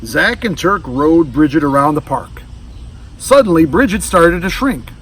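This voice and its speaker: A man reading a children's storybook aloud in an expressive, animated style. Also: on the high side, a little slow, breathy edge. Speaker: storybook reader. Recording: distant mic with faint background noise.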